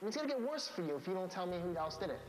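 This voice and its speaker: An American man in his thirties, a high school principal, speaking in a stern, authoritative way. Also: very animated, rapid-fire. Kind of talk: reality show narration and firm talk with a student. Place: school offices and locker room. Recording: noisy reality TV location sound.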